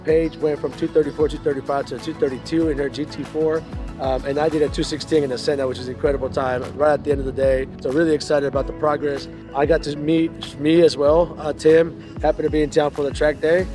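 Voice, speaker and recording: A man's voice: fairly high pitch, flat delivery, quick pace, over music. A man hosting a racing club vlog, giving an upbeat recap of the day outdoors at a track.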